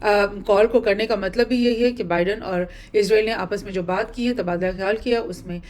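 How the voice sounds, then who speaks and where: A woman gives informal news commentary as a host, explaining and rephrasing her points in a halting way. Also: medium pitch, fairly even intonation, a little mellow. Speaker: host presenting news analysis.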